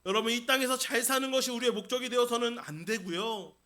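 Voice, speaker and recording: A man's voice: very high-pitched, fast talker, bright, forceful tone. A man preaching a Sunday sermon as senior pastor in a church service. He speaks emphatically, addresses the congregation directly and repeats key phrases. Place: church sanctuary during a service.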